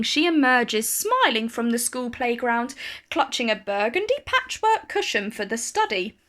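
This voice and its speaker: A woman reading a children's picture book aloud in an expressive, carefully phrased storytelling style. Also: high-pitched.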